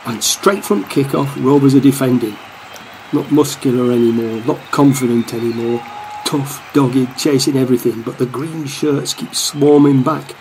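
A man's voice: mid-range voice, moderate pace, dark tone, a little hiss or hum, lightly acted, rough recording. A man playing a wry, devoted football supporter who narrates a match in a radio play, in commentary style. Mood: dry commentary.

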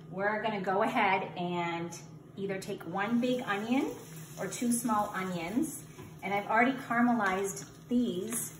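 Chatty woman cooking in a sizzling kitchen. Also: mid-range voice. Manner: chatty, joking, self-teasing. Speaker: home cook vlogger. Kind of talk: casual cooking demo. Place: home kitchen. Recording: noisy kitchen with sizzling pan.

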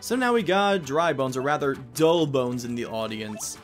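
A man's voice: mid-range voice, expressive, normal speed. A man casually commenting on a video game as he plays, rambling with jokey asides. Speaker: let's play host. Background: game music.

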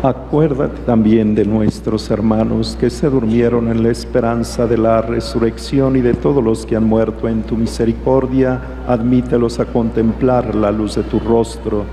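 Mellow older priest reading prayers. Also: male voice, mid-range voice, fairly even intonation, moderate pace, few pauses. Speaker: concelebrating priest. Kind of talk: liturgical prayer read from a book. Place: large church sanctuary. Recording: reverberant church, faint noise.

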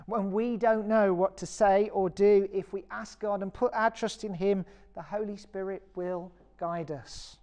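A British woman vicar giving a recorded sermon in a measured, earnest and encouraging way. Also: mid-range voice, steady tone, warm tone. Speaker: parish vicar preaching.

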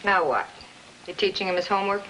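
A woman's voice: medium pitch, fast, lightly acted. A woman playing a bitter, needling middle-aged American mother in a 1950s film drama, theatrical and confrontational. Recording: noisy old film soundtrack with clicks.

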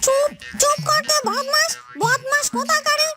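A woman's voice: very high-pitched, steady tone. A woman playing a feisty, indignant young village housemaid in a cartoon, scolding and defiant. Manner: scolding, defiant, rapid retorts. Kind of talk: Bengali cartoon dialogue. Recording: voice over loud music.

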